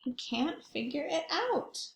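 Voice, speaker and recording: High-pitched woman reading to young children. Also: takes it slowly, gravelly, roomy sound. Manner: expressive, asks the listeners questions, exclaims. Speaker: children's read-aloud host, teacher or librarian. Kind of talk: interactive picture-book read-aloud for young children.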